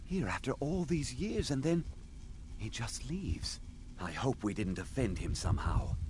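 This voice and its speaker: An actor playing a dignified, stern archmage of a magic college in a fantasy video game, speaking with formal authority. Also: male voice, mid-range voice, animated, unhurried, noisy background, natural voice. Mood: puzzled.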